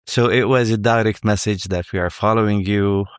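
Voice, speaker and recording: A middle-aged man from Lebanon answers interview questions in a very low voice. He speaks in a measured way and often repeats a word while he finds the next one. His voice is recorded close and dry, with no room sound. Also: fairly even intonation, smooth, no room sound. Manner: measured, repeats words while finding them. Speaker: journalist guest.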